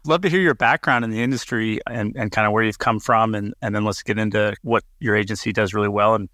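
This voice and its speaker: Chatty, bright-voiced male podcast host. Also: lowish voice, steady tone, quick pace, no room sound, clean studio sound. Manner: chatty and enthusiastic, with repeated restarts. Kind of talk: casual interview hosting.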